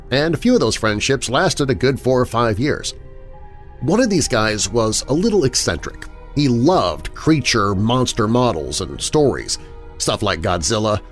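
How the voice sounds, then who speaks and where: Deep-voiced man narrating horror stories. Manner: dramatic, expressive storytelling. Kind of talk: scripted narration of first-person scary stories. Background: background music.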